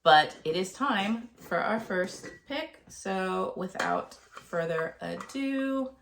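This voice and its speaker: A woman in her thirties talking casually to camera about her month's reading, a bit nasal and gravelly from a cold, with plenty of ums and uhs. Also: medium pitch, lively intonation, slow, a bit bright, slight background noise. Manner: chatty, with frequent ums and uhs. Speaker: book vlogger.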